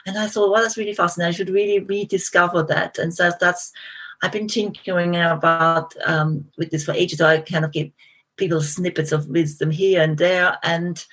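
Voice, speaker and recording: A middle-aged Austrian woman talks as an interview guest, her voice sitting a little low and carrying a husky, breathy edge. Her German-accented English is thoughtful and conversational, full of small restarts and fillers as she works through her ideas. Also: fairly even intonation, moderate pace, fairly bright tone.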